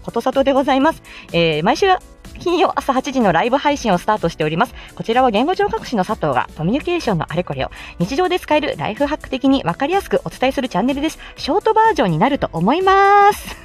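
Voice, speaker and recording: A woman hosting a chatty morning livestream, speaking politely in a bubbly, thankful manner. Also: high voice. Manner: polite, bubbly, effusively thankful, laughs often. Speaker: host of a live morning audio stream, voice actor. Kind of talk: chatty livestream talk to listeners.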